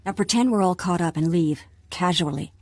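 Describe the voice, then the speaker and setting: An actress playing a sly, teasing undercover contact with an edge of threat in a video game. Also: female voice, lowish voice, brisk, darkish tone, dry recording.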